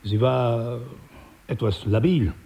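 Condescending older crime boss, mellow-voiced. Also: male voice, medium pitch. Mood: patronising, measured. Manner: condescending, measured, smooth-talking. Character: paternal, menacing older male crime boss. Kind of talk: radio drama acting.

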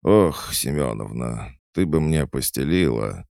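A man's voice: extremely low pitch, animated, measured pace, creaky, a little mellow, dry recording, studio quality, full character voice. Man putting on a theatrical, drunken old tomcat. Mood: groggy. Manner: theatrical, plaintive, drawling. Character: drunken self-pitying old tomcat, washed-up actor.

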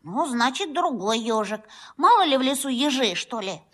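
An actress playing a grumpy, curious young hedgehog in a children's audio play. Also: female voice, high-pitched, big pitch swings, normal speed. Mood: grumpy, doubtful.

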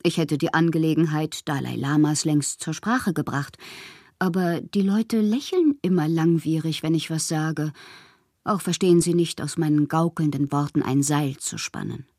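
A woman playing a whimsical bohemian poet who confides her letters in an intimate, breathy, theatrical way for a radio drama. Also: low-pitched, normal speed, darkish tone, no echo, studio quality. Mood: playful, musing. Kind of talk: literary radio drama, letters read as monologue.

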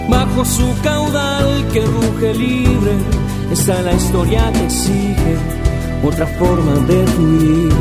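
Woman singing very slowly over loud music. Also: on the high side. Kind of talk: sung theme song with slow, sustained phrases.

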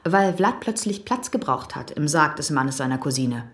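A lively female crime-drama investigator. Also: sits low, moderate pace. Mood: explaining, pointed. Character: female undercover police investigator, wry and determined. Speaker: police investigator. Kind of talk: German crime radio drama acting.

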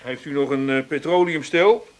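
Man acting breezy, high-pitched utility man. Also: moderate pace, close to an everyday voice. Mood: joking. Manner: offhand, folksy, patronising. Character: breezy, unbending utility disconnection man, jokey. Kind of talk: naturalistic Dutch radio drama acting.

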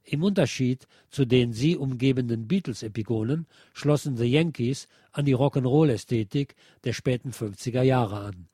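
A man reading aloud from a nonfiction book as a radio presenter, in a measured, articulate way. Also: mid-range voice, warm tone, slightly rough, no echo, studio quality. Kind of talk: scripted narration, read aloud from a nonfiction book.

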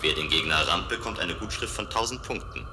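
An actor playing a restless, playful man of a future city in a German radio play, with a measured, musing delivery. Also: low-pitched, noisy background. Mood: playful, competitive.

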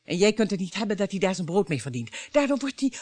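A woman's voice: mid-range voice, sing-song, quick pace, a little mellow, dry recording. A woman acting a long-suffering but defiant middle-aged wife and mother in a Dutch radio play, pointed and challenging. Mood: firm, reproachful.

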